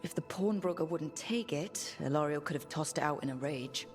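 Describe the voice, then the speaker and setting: An actress playing a composed, decisive video game heroine with a dry, questioning manner. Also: female voice, deep voice, normal speed. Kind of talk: video game character dialogue. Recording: music behind.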